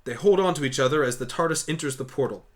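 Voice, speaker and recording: A man's voice: on the high side, quick pace, crowd noise. A man reading scripted story narration for a fan audio drama.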